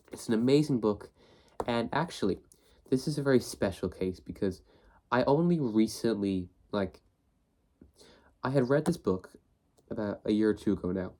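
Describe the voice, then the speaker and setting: Young male host, a bit sniffly from a cold, low voice, loose rambling delivery. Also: mellow. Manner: rambling, many fillers and restarts. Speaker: book video host. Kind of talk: casual talk to camera.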